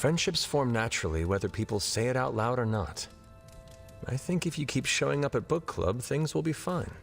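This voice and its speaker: A man voicing a reserved, kindly hero in a video game, measured and reassuring. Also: medium pitch, expressive, gravelly, faint music behind. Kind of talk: video game cutscene acting. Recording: reverberant hall.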